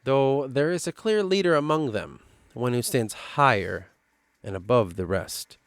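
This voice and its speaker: Midwestern man, middle voice, muses over his own lore notes with halting restarts; dry, close recording. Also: mid-range voice, no room sound. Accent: Midwestern American. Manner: thinking aloud, halting, restarts, slows down for lore passages. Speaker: worldbuilding writer.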